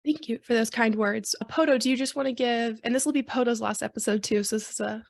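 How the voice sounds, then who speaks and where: A woman's voice: fairly high pitch, fairly even intonation, fast, creaky. A woman hosting a podcast, reading a scripted intro and then chatting with her guests. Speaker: podcast host. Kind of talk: podcast interview.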